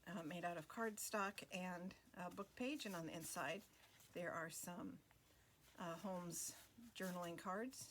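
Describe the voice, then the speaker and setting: Female craft host, casual unhurried narration, slightly low pitch, lots of pauses. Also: slight background noise. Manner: relaxed, many fillers and pauses. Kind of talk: casual flip-through narration.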